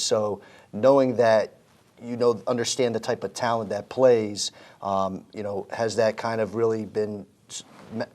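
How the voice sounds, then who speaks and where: Upbeat man hosting a sports interview. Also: on the low side. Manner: upbeat, conversational questions. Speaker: interview host.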